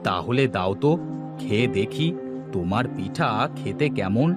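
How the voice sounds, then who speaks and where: A man's voice: on the low side, animated, measured pace, over loud music. A man voicing a kindly, reassuring village uncle in a cartoon story, with genial, emphatic delivery. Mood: genial, impressed. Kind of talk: Bengali animated story dubbing.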